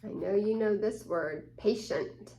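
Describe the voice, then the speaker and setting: A woman's voice: mid-range voice, slow, warm tone, low-quality recording. A woman hosting a children's story time, reading a picture book aloud and explaining it with questions to the young listeners.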